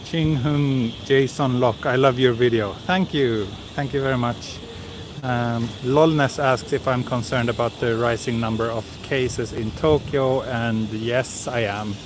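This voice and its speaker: A man chats his way through a walking live stream, pausing on fillers as he reads out and answers viewers' comments. His voice sits at an ordinary middle pitch. A handheld mic outdoors picks him up with steady city street noise behind. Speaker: livestream walking vlogger. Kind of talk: casual live-stream chat. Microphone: handheld camera with small recorder mic and wind cover. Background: city street ambience.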